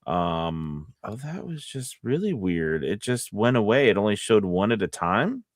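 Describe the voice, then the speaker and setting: A man's voice: lowish voice, very animated, dry recording. Rambling man chatting on a dry mic. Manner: rambling, halting, self-correcting. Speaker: voice actor co-hosting a livestream. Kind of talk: casual livestream chat.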